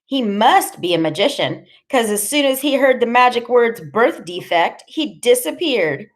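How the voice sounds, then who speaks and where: A cruel, giggly troublemaker of a woman as a female audiobook narrator plays her. She talks in slang at a raised pitch, teasing and needling with brash relish. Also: lively intonation, a little slow. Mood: mocking.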